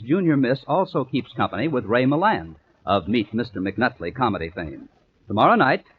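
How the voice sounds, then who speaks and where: An American male announcer reads credits and promotions with formal polish at a medium pitch. The sound is a tinny, phone-like 1950s broadcast with faint noise. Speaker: radio announcer. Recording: tinny, noisy old radio recording.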